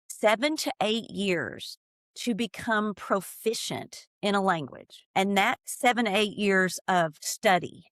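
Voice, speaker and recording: A woman's voice: medium pitch, dry recording, studio quality. A woman from East Tennessee, an ESL teacher, talking earnestly and with emphasis in an interview. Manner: earnest, animated, emphatic when making a point. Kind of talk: conversational interview.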